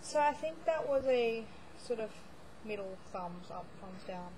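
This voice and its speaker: A woman's voice: fairly high pitch, expressive, unhurried, dark tone, a little hiss or hum, poor audio. A woman starting to lose her voice, rambling chattily through a reading wrap-up with lots of fillers and restarts. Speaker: book blogger. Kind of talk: casual monthly reading wrap-up vlog.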